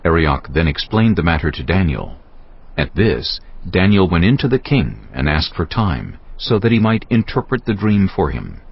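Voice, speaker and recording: A man narrating a dramatized audio Bible in a solemn, deliberate storytelling style. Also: low voice, very animated, gravelly, slightly rough, lo-fi sound.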